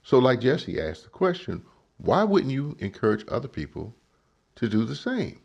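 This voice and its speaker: A man's voice: mid-range voice, huge pitch swings, normal speed, creaky, darkish tone, husky, dull audio. A man giving emphatic political commentary on a clip, often asking "right?" to make his point. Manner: emphatic, asks rhetorical questions, says "right?" often.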